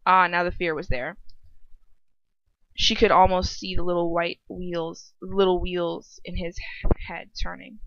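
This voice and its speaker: Woman, bright forceful voice, informal story reading, stumbles and self-corrections, dry, slightly muffled sound. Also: fairly low pitch, little pitch movement, measured pace, no room sound. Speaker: reader and host. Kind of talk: informal fan fiction reading with chat.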